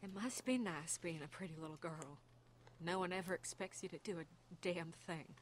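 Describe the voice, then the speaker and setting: A woman's voice: fairly low pitch, moderate pace, a little hiss or hum, close to an everyday voice. An actress playing a resentful woman survivor in a game, speaking coldly and accusingly. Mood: bitter. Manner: accusing, cold. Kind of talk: dramatic game acting.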